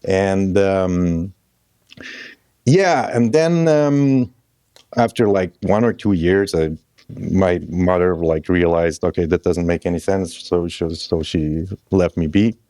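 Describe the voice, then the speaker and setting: A man in his thirties from Croatia, a guest musician chatting casually in a halting, loose way with restarts. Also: low voice, dark tone, no room sound, clean studio sound. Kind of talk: casual interview chat.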